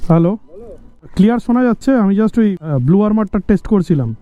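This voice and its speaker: A man's voice: sits high, expressive, fast talker, mellow. A man chatting casually as a vlogger, recorded outdoors through a muffled helmet mic with street noise. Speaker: vlogger, host. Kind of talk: casual phone chat while testing gear. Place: outdoors by traffic, wearing a helmet.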